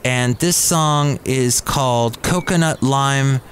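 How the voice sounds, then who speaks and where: A man's voice: medium pitch, slow, slight background noise. A man hosting a podcast in a café, chatting casually as he introduces new songs and reads emails aloud. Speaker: podcast host.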